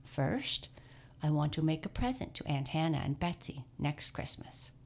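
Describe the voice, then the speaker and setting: A woman's voice: low-pitched, lively intonation, normal speed, creaky, heavily acted. A woman voicing a forlorn, timid young male scarecrow who talks in anxious, tearful pleas.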